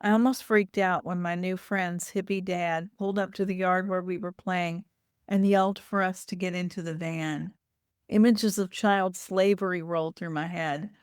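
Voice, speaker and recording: A woman reading a first-person literary short story aloud in a measured, deliberate way. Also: fairly low pitch, fairly even intonation, smooth, no echo, studio quality.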